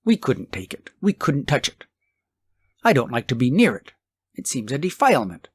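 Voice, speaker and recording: A man's voice: mid-range voice, wildly swooping pitch, normal speed, slightly rough, dry recording. A man voicing a poor old wife, timid, fretful and anxious, in an audiobook story. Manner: sighing, fretful worrying.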